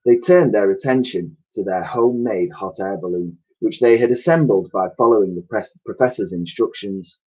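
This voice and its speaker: Husky man reading a children's story. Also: on the low side, normal speed, few pauses, highs cut off. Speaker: primary school teacher reading aloud. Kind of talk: expressive children's storybook narration.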